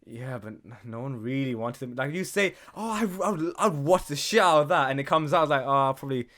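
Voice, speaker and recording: A man's voice: medium pitch, expressive, a little fast, a bit bright. A man co-hosting a podcast, chatting informally and often breaking off mid-thought. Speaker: podcast co-host. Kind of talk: casual podcast chat.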